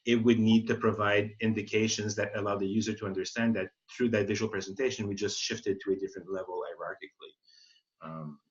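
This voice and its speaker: A man explaining as an expert in an informal webinar Q&A, thinking aloud and rephrasing as he goes. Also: on the low side. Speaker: accessibility expert presenting a webinar. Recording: muffled, band-limited webinar audio.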